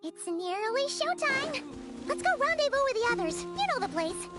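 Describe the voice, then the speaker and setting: A tiny game fairy with an extremely high, squeaky child's voice. The delivery swings wildly up and down, eager and cheerful. Loud playful music runs behind it. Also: female voice, big pitch swings, moderate pace. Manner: exclamatory, eager. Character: tiny excitable childlike female fairy companion, cheerful and friendly. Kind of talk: video game voice acting.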